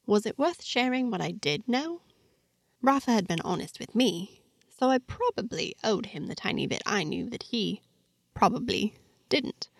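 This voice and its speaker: Woman reading fiction aloud, really animated pitch, bit of creak, super dry close sound. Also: normal speed, dry recording. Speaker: host and narrator of a fiction podcast. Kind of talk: scripted first-person story narration.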